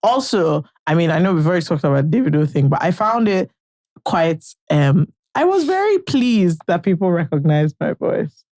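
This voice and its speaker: A young Nigerian woman co-hosting a casual podcast, talking with emphatic, animated energy. Her voice carries a gravelly edge, and the recording is close and dry. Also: on the low side, moderate pace, dry recording. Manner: animated, emphatic, swears and promises often. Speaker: podcast co-host. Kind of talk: casual chat.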